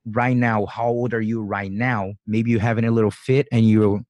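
A man's voice: on the low side, steady tone, normal speed, a little mellow, dry recording. A man in his forties hosting a podcast, talking loosely and rambling, often acting out his own thoughts. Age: 40s. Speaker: podcast host. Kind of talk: casual interview conversation.

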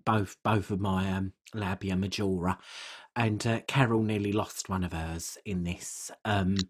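A performer playing a crude, gossipy middle-aged English woman podcaster, telling rambling comic stories in a blunt, husky way. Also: low-pitched, steady tone, a little slow, slight background noise. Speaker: podcast co-host. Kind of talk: comic character chat, rambling anecdotes.

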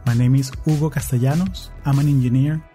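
A man from Venezuela, hosting a podcast interview in an earnest, measured way with some halting restarts. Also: medium pitch, fairly even intonation, mellow, soft background music. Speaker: podcast host.

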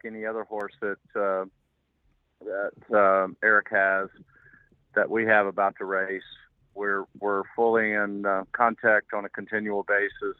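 An older man with a hoarse, worn voice, talking in a halting, rambling way as an interview guest while driving. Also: lowish voice, no room sound. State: hoarse from losing his voice. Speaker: guest, racehorse owner. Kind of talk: casual phone interview. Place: car, driving. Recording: tinny phone line, dry.

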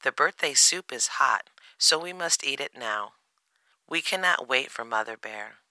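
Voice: medium pitch, lively intonation, unhurried, bright, forceful tone, no echo. A narrator voicing a cheerful, eager little boy bear cub in a children's storybook. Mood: friendly, excited. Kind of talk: children's storybook character reading.

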